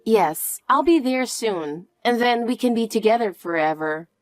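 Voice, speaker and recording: A woman playing a calm, protective mother who speaks softly and reassuringly to her daughter. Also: on the high side, no room sound. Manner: soft, slow, reassuring. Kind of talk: dramatic dialogue.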